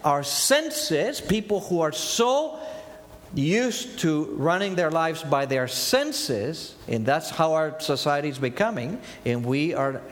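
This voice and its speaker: A man in his fifties with an Argentine Spanish accent delivers an earnest sermon. His voice sits a little higher than most men's. He poses rhetorical questions and answers them, and his words trail off into a long hall reverb. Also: very animated, takes it slowly. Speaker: preacher.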